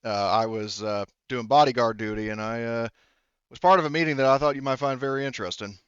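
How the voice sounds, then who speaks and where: A man voicing a hesitant security officer in a tabletop game, speaking in a halting, informal, hedging way. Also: medium pitch, clean tone, no echo, dull audio, close to an everyday voice. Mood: confiding. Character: hesitant male colony security officer reporting in.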